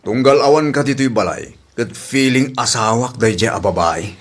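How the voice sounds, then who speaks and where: A man's voice: medium pitch, lively intonation, moderate pace, natural voice. A man playing a faithful, uneasy husband and employer in a domestic drama, earnest and complaining. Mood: uneasy complaint. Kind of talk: domestic audio drama acting.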